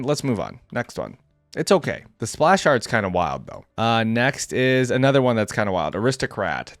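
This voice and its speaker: Male reaction host: casual gamer talk, animated intonation, close dry mic, slangy and unscripted. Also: medium pitch, no room sound. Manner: informal, slangy, thinking aloud. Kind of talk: casual off-the-cuff gaming commentary.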